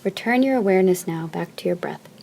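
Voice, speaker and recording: Soft, mellow woman guiding deep relaxation. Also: lively intonation, vocal fry, slight background noise. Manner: soft, soothing, measured phrasing with long pauses. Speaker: yoga nidra teacher. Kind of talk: guided meditation, slow instruction.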